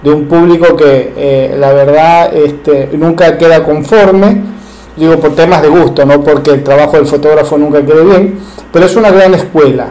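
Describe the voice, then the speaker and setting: A man's voice: on the high side, normal speed, dark tone, low-quality recording. A male interviewer asking long, rambling questions with frequent self-corrections.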